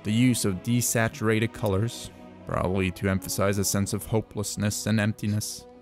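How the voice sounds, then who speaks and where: A man's voice: lowish voice, flat delivery, moderate pace. A man narrating a scripted video essay as its host, in a conversational, reflective way. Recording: music under the voice. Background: background music.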